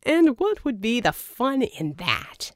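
A woman's voice: a little high, sing-song, takes it slowly, dry recording, clean studio sound, voice pushed up. A woman voicing a flamboyant, smug, scheming male villain in an audiobook, with a theatrical, wheedling manner. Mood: mocking. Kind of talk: audiobook character dialogue.

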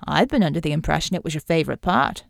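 A woman voicing a cheerful, cocky male flying operative, warm and teasing, full of breezy banter, in an audiobook adventure. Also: deep voice, expressive, fast talker, vocal fry, no room sound, clean studio sound, voice pushed down, full character voice. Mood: exasperated teasing.

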